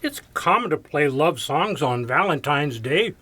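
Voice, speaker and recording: A man playing a touchy, defensive radio programmer in an audio drama, answering curtly with a rough edge to his voice. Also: on the high side, expressive, a little slow, pushed and bright, exaggerated voice. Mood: guarded. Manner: curt, evasive, prickly. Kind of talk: audio theater mystery acting.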